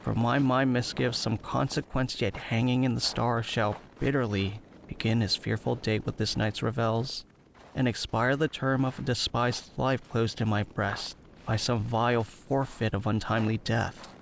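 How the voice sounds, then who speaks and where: A lovestruck young man heavy with foreboding, played by a male actor in a Shakespearean audio drama. His medium-pitched voice crackles with fry, over some background noise and ambience. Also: steady tone, normal speed, close to an everyday voice. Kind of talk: Shakespearean audio play acting.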